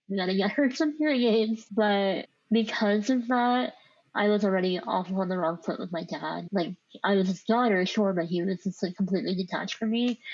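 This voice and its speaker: A woman's voice: medium pitch, darkish tone, dry recording, dull audio. A woman with a speech impediment, telling a personal story in an informal, reflective interview style, peppered with "like". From born overseas in Vietnam, grew up in the United States. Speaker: podcast guest telling their life story.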